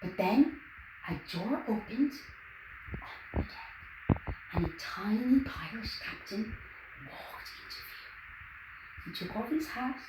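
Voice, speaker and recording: A woman reading a story aloud expressively for young children. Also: medium pitch. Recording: roomy echo, clear background noise.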